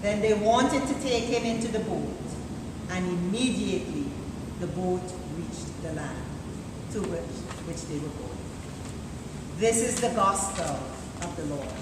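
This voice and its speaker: A woman reading scripture aloud as a deacon in a church service, deliberate and solemn. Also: lowish voice, animated, far from the mic. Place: large church interior, live service. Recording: echoey church from afar, noisy live recording.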